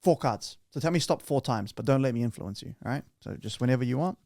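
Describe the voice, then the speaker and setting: A man's voice: mid-range voice, quick pace, gravelly, darkish tone, no room sound. Playful chatty male podcast host. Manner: chatty, playful, with many restarts. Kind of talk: casual podcast chat.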